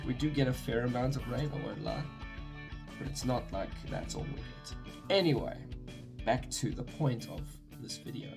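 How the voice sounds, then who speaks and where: A young South African man talking in a relaxed, rambling way, often stopping to correct himself mid-sentence. His voice sits at an ordinary middle pitch. A guitar track plays clearly behind him. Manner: rambling, self-correcting, chatty. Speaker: vlogger. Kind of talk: casual talk to camera. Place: his bedroom.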